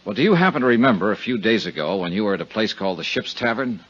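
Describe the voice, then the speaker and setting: Persistent, courteous radio investigator of the 1950s: husky, animated delivery, cool temper, lo-fi vintage sound. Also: male voice, on the low side, very fast. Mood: courteous, probing. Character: cool, persistent, polite male insurance investigator. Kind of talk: 1950s radio detective acting and first-person narration. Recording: dull 1950s radio recording.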